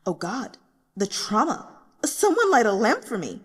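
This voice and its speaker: A woman voicing excitable, indignant online novel commenters in an audiobook, slangy and exclamatory. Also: fairly high pitch, breathy edge, big-room sound, exaggerated voice. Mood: argumentative. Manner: slangy, exclamatory, fast-reacting.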